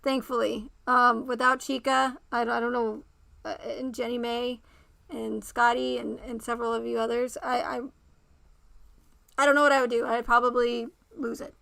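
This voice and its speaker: A woman hosting a casual livestream, talking straight to her viewers by name and repeating herself, her voice going raspy. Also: fairly high pitch, little pitch movement, normal speed, bright, forceful tone, dry recording. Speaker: livestream host.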